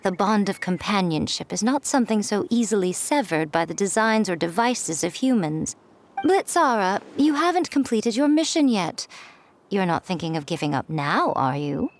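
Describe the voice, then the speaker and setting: A sly, smug female priestess from a video game, speaking with calm condescension. Her mid-pitched voice rises and falls expressively. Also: normal speed. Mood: composed. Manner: mocking, composed, condescending. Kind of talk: video game cutscene dub.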